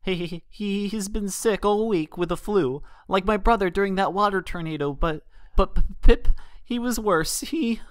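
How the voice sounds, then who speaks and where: A man's voice: sits high, moderate pace, hardly any pauses, no echo, pitched above the performer's own voice, close to an everyday voice. A man voicing a frightened little boy pony in a storybook, stammering, breathless and pleading. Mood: shaky, stammering.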